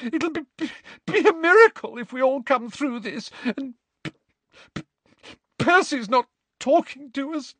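A man's voice: animated, measured pace, pauses often, a bit bright, a little hiss or hum, heavily acted. A man playing a sobbing, stammering mother. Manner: stuttering, high and strained. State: sobbing, stammering through tears. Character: distraught middle-aged mother, tearful, fearful. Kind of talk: audiobook character voice.

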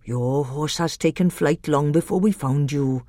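Reader voicing a husky, earthy old badger. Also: medium pitch, unhurried, mellow, dry recording, studio quality, lightly acted. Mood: calm. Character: old kind male talking badger, gentle.

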